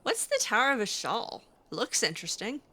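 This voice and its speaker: A woman with a bright voice gives casual in-character game commentary. Her pitch moves around a lot as she drops sarcastic, skeptical asides while roleplaying. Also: huge pitch swings, normal speed, husky. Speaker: video game player roleplaying as a mage character.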